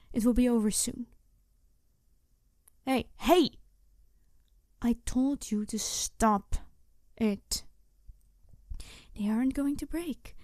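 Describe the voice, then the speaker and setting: A woman playing a snarky, bitter supervillain in an ASMR audio roleplay, taunting her captive in a theatrical, deliberate way with breathy whispered touches. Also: fairly high pitch, dark tone, dry recording, clean studio sound.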